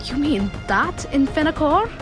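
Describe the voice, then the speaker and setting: An actress playing an alarmed, inquisitive adventurer's companion in an audio drama, exclamatory in delivery. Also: female voice, high-pitched, animated, moderate pace, music in the background. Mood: incredulous.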